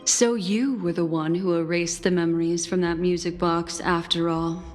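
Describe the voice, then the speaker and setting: A woman playing a cool, wary, sharp-minded rival in a video game, with a measured, dry, accusing and dismissive manner. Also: fairly low pitch, steady tone, natural voice. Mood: curt. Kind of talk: dramatic video game voice acting. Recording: long reverb, game music behind.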